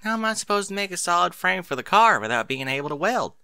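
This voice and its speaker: Man voicing an excitable, high-pitched young pony filly. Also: fast talker, vocal fry, clear, resonant tone, no echo, studio quality, exaggerated voice. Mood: indignant protest. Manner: folksy, protesting, informal. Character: young pony filly, eager, stubborn, cheeky.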